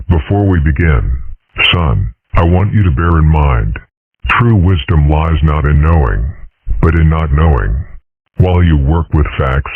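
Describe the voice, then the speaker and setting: A man voicing a serene, eccentric old dragon father in a cartoon comic dub, husky and measured like a sage. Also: a bass voice, full character voice. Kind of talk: comic strip dub acting. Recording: tinny phone-like band-limited audio.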